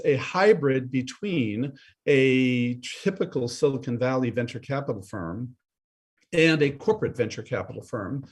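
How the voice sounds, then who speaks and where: A man speaking as a panelist on a video call, giving a fluent business pitch with some repeated starts. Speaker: venture capital partner, panelist.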